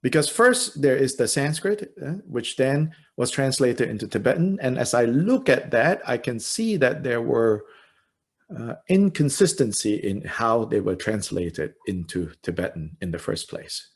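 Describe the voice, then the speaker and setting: Man, 50s, Malaysian English accent, husky, hesitant, wry teacher. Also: medium pitch, sing-song, a little slow. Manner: hesitant, full of "uh" fillers, wry self-deprecating humor. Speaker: dharma teacher. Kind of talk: informal teaching and Q&A.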